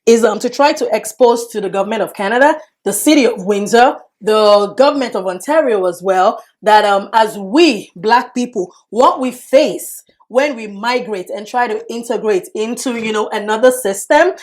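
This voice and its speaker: A woman's voice: on the high side, moderate pace, few pauses, darkish tone. A woman hosting an interview show, friendly and affirming, often repeating words of praise. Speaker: talk show host.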